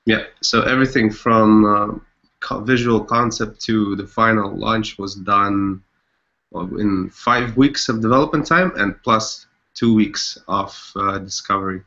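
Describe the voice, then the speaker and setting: A man's voice: on the low side, steady tone, unhurried, bright, forceful tone, dull audio. A man from Canada giving an informal online presentation as a developer. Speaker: front-end developer presenting a project.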